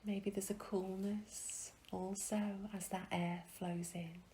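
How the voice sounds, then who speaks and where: A woman guiding a meditation in a soft, breathy, soothing way, leaving long pauses between phrases. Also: mid-range voice, little pitch movement, a little hiss or hum. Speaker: meditation guide. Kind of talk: guided meditation.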